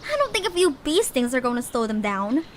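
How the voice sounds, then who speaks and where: Small anxious, wry female creature played by a woman, extremely high voice, slight noise behind, story podcast. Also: big pitch swings, fairly quick. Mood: cautious, wry. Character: worried, cautious, wry little female forest creature.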